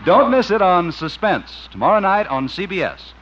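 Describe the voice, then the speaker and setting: A man's voice: sits high, very animated, normal speed, a bit bright, muffled recording. A man announcing in polished, emphatic 1950s network radio style on an old recording. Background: music.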